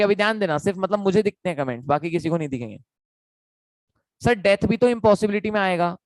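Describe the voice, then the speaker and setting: Hoarse young Indian man, chatty tutor. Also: high-pitched, dry recording, low-quality recording. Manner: chatty, repetitive, calls students beta. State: hoarse, sore throat. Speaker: online law tutor. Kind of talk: live online lecture.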